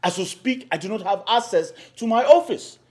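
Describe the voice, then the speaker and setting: A man's voice: high-pitched, lively intonation, moderate pace, a little mellow. An actor playing an aggrieved uncle who complains to his sister about his niece. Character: aggrieved middle-aged uncle, company manager. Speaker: uncle running the family company. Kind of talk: film drama acting.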